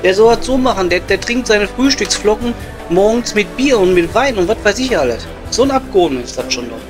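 A man's voice: high-pitched, lively intonation, brisk, music in the background. A man playing a blunt, disdainful coal miner with a Ruhr accent in an improvised comedy audio play. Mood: disdainful gossip. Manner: blunt, crude, colloquial. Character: coarse, disdainful coal miner, hard-drinking pub regular.

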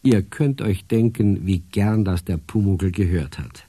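Gentle radio play narrator, low, mellow, husky, scripted storytelling. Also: male voice, unhurried. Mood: gentle, narrating.

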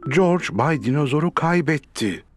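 A man narrating a children's cartoon in a calm, friendly storytelling way. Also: a little high, a little slow. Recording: cartoon with loud music behind.

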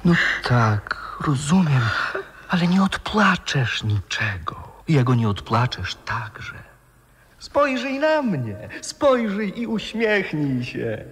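An actor playing a sly, gently teasing bird-catcher from opera in a 1960s radio drama, hushed and insinuating, with a creaking, whispery voice. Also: male voice, on the high side, very animated, slow pace, heavily acted. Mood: consoling. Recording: reverberant 1960s radio play recording.

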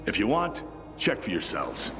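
Gruff military officer, game drama: sarcastic, commanding, highly animated delivery, music underneath. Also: male voice, normal speed, big-room sound. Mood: resigned, commanding. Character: gruff bitter male military lieutenant, battle-worn, sarcastic. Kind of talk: video game dramatic acting.

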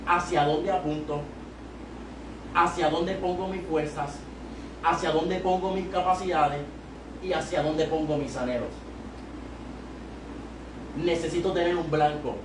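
A young man with a Puerto Rican Spanish accent preaching an exhorting sermon at a youth service from a church pulpit, holding a handheld mic. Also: fairly high pitch. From Puerto Rico. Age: young adult. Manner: exhorting, rising to loud emphatic peaks. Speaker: evangelist preacher. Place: small church sanctuary at the pulpit. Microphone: handheld mic, filmed from a far camera. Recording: echoey church room, far camera, noisy.

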